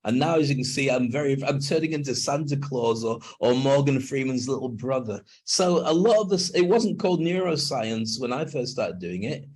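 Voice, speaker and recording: An older man speaking as a guest on a video call interview, emphatic and animated when making a point. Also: medium pitch, normal speed, hardly any pauses. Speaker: guest, NLP trainer and speaker.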